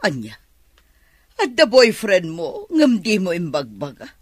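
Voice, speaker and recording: An animated, chiding Filipina mother. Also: lowish voice, measured pace, breathy edge, no room sound, studio quality, exaggerated voice. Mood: curious, chiding. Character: middle-aged mother, curious, gently chiding and amused. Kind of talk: Ilocano radio drama acting.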